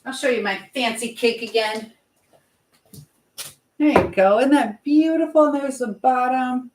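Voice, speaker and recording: A woman's voice: high voice, measured pace. A woman chatting casually as a livestream host while busy in a home kitchen, rambling informally as she answers viewers. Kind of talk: casual live chat while baking. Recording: echoey room with clear background noise.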